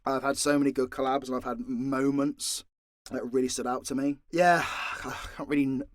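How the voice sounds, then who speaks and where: A man's voice: medium pitch, level tone, moderate pace, dry recording, clean studio sound. A man chatting casually and candidly, swearing freely, as he answers questions off the cuff as a creator. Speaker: voice actor and audio creator answering fan questions. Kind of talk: casual unscripted Q&A talk.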